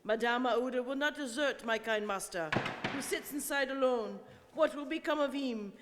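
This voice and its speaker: A man acts a loyal French manservant in a radio play. The French-accented voice is high, anxious and dramatic, heard in a slightly reverberant hall. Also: moderate pace, background noise. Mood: distraught. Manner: plaintive, dramatic. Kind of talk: stage radio-drama acting. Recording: hall reverb, community studio performance.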